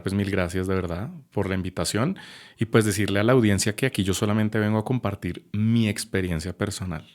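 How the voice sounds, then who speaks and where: Podcast guest, man in his late thirties, deep voice, thoughtful and hesitant delivery. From Colombia. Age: 30s. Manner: reflective, measured, with frequent hesitations. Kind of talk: personal testimony in a podcast conversation.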